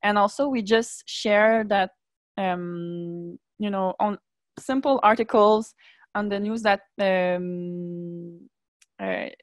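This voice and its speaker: A woman in her thirties from Montreal, a native French speaker with a Quebec accent, speaking English haltingly and searching for words. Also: mid-range voice, steady tone, dry recording, clean studio sound. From Montreal, Canada. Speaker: webinar participant. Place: indoor room at home. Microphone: earbuds on video call.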